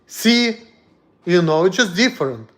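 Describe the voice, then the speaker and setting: A man's voice: high voice, lively intonation, unhurried. A man from Russia with a Russian accent, talking bluntly and heatedly on a casual livestream in broken English. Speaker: streamer.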